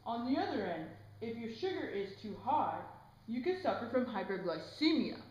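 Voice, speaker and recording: High, very animated woman in echoey room. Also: moderate pace, muffled recording. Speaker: interviewer in a student health skit. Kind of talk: amateur scripted presenting with slips. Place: indoor room, amateur student video. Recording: echoey room with background noise.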